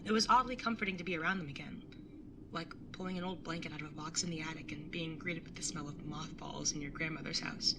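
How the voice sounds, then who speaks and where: A woman's voice: low-pitched, fairly even intonation, fast, noisy background. A young woman playing a caring, slightly awkward and wry older sibling in a casual audio drama. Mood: wry, reflective. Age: young adult. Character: awkward, caring older sibling, early twenties, tattooed, teasing. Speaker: narrator and lead character. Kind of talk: audio drama acting with first-person narration.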